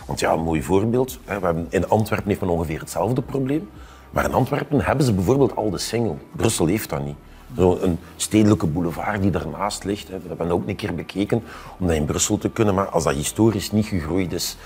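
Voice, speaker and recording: Deep-voiced Flemish man explaining. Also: a little mellow. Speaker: expert panelist. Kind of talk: explanatory conversation. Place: indoor café-style room, at a table.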